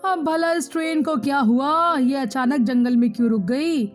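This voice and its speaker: A woman plays a homely, talkative middle-aged mother-in-law in an animated family tale. The voice is high, with music clearly playing behind. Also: moderate pace, lightly acted. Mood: worried. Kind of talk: animated moral story voice acting.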